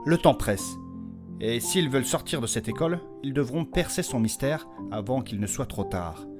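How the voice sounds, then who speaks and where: A male storyteller delivers dramatic fantasy narration. His medium-pitched voice moves widely up and down, with music clearly playing underneath. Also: expressive, normal speed. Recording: music with guitar behind the narration.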